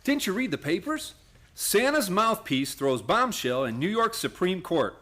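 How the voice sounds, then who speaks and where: An actor playing an earnest, idealistic lawyer in a radio play, with a pleading manner. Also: male voice, sits high, very animated, unhurried, a bit bright, heavily acted. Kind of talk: stage-like radio play acting.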